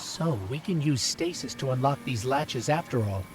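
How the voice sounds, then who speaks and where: A man's voice: mid-range voice, expressive, normal speed, lightly acted. A man voicing a small, earnest robot companion in a video game, speaking in an inquisitive, conversational way. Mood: thoughtful, uneasy. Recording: very noisy game ambience behind.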